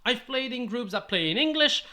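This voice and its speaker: A man's voice: extremely high pitch, animated, moderate pace, sharp tone. A man in his thirties with a Dutch accent, a native Dutch speaker, giving upbeat, encouraging tips to camera from a small room, speaking into a mic on a stand. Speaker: YouTube host giving roleplaying tips. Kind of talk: scripted-sounding advice monologue to camera. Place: small indoor room with striped curtains. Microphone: studio mic on a stand in front of him.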